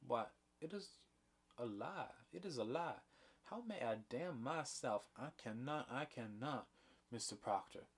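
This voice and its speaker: A man's voice: mid-range voice, steady tone, slow, hardly any pauses, a bit bright, breathy edge, voice pushed up. A man voicing a frail, devout old woman who refuses to tell a lie, in a read-aloud play. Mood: pleading. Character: frail old woman, gentle but unbending.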